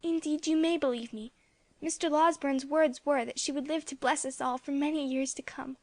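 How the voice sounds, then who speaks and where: Woman doing an eager high young boy. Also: fairly even intonation, moderate pace, a little mellow, no echo. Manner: eager and polite.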